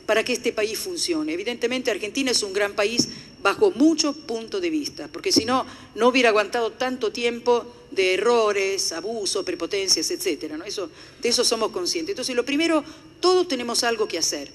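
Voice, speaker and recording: An older woman from Italy with an Italian accent, giving a formal lecture to a conference audience. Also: a little high. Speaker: conference speaker. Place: conference hall. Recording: echoey hall.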